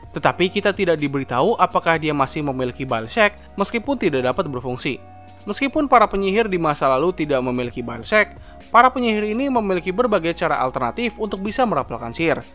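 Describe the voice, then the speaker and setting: A young man narrates an explainer in a high-set, very lively voice. He moves along quickly and keeps the tone informal and chatty, like a host talking to viewers. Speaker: video essay host. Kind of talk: scripted explainer narration with casual asides. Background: background music.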